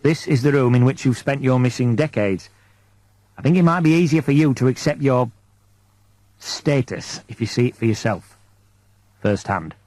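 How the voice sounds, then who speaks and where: A man voicing a solemn, gently grave priest who speaks in a measured, formal, apologetic way in a science fiction audiobook. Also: mid-range voice, animated, no echo, natural voice. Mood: grave, patient.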